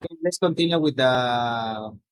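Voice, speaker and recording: A middle-aged man from Peru, a native Spanish speaker with a Peruvian accent, giving a slide presentation in a halting way with many restarts and "no?" tags. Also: medium pitch, no echo.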